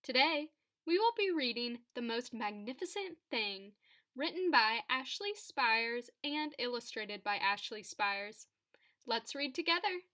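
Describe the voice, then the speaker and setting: A woman reading a children's picture book aloud for storytime, phrasing it deliberately and expressively with dramatic emphasis. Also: a little high, expressive, sharp tone. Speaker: storybook reader.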